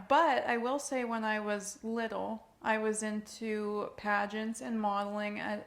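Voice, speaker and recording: A woman's voice: a little high, vocal fry. A woman in her twenties, a model answering interview questions in a casual, giggly way, full of "like" and "um.". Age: 20s. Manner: giggly, uses "like" and "um" a lot. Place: indoors at a rented home.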